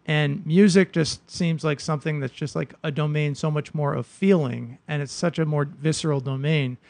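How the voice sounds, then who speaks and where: A man's voice: fairly high pitch, steady tone, normal speed, creaky, darkish tone, dry recording. A man in his thirties hosting an advice podcast, chatty and loose, with lots of fillers. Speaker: podcast host. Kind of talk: casual conversational interviewing.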